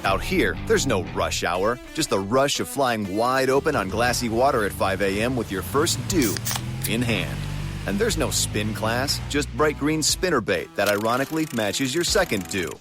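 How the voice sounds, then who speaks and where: A man's voice: medium pitch, expressive, moderate pace. A man narrating a scripted outdoorsy commercial as a voice-over. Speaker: advertisement voice-over. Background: prominent music.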